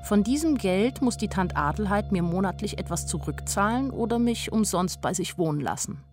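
A woman's voice: mid-range voice, expressive, moderate pace, music in the background, natural voice. An actress playing a weary, frank young woman who narrates her life in a radio play. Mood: matter-of-fact.

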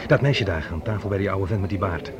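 A man's voice: sits low, close to an everyday voice. An actor playing a breezy, chatty male colleague in radio play dialogue. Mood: teasing, eager. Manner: chatty, teasing, brisk. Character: breezy, teasing male colleague with an eye for women. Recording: crowd murmur behind the voice.

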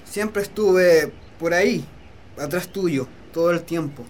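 High-voiced lovelorn young farmhand. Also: male voice, slow pace, darkish tone. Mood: tender. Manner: earnest, poetic. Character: humble, lovelorn young peasant farmhand, resigned and tender. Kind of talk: romantic radio drama acting.

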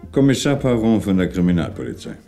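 Shrewd commissioner, dark mellow timbre, lively intonation, measured probing questions, pointed pauses, vintage German radio drama. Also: male voice, low voice, soft background music. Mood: businesslike. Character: shrewd, probing male French police commissioner. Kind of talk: 1960s German radio crime drama acting.

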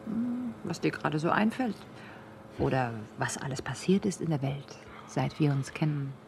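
A skeptical lover in a radio play. Her voice is fairly low, her intimate, questioning and gently teasing. There is some noise behind the recording. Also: lively intonation, moderate pace, creaky. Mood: playful. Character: Elda, skeptical, teasing woman, Peter's lover. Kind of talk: German radio drama acting.

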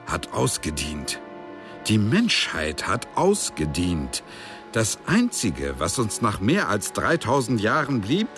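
Casting: bitter, impatient celestial boss for radio drama, slow and low, grandly pompous. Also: male voice. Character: senior heavenly superior, male, weary, bitter, dismissive.

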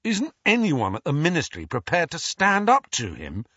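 A man's voice: on the high side, wildly swooping pitch, normal speed, pushed and bright, breathy edge, no echo, voice pushed up, exaggerated voice. A man voicing a sulky, hot-tempered teenage boy who whines indignantly. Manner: whining, indignant. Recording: dry, dull lo-fi audio.

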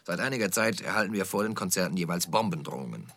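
Low, brash male concert promoter. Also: lively intonation, fast, fairly bright tone, no echo, full character voice. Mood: worried. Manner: slangy, boastful, rambling. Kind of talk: comic radio play dialogue.

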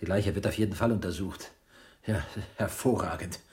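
An actor playing a weary, anxious, arrogant man drawn in as a reluctant accomplice, in naturalistic radio drama dialogue with a husky, rough voice. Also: sits low, moderate pace, a little mellow. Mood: agitated, sarcastic.

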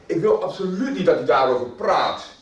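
Man acting strict exasperated schoolmaster, high swooping voice, clipped orders, roomy radio drama sound. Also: animated, moderate pace, far from the mic, highs cut off, lightly acted. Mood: stern. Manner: emphatic, clipped, authoritarian. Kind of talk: 1990s radio soap acting.

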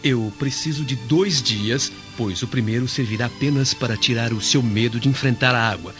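An actor playing a calm, quietly witty slave who speaks in measured radio-drama delivery. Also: male voice, medium pitch, natural voice. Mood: calm, knowing. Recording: dull lo-fi radio drama, music underneath.

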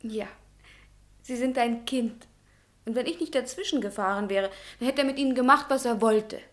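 A woman's voice: a little high, normal speed, close to an everyday voice. An actress playing a self-assured young woman who speaks in a pointed, teasing way, in a radio play.

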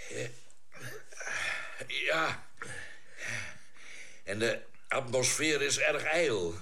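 A man acting a calm, practical ship's doctor in a science fiction radio drama, measured and matter-of-fact. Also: medium pitch, gravelly, exaggerated voice.

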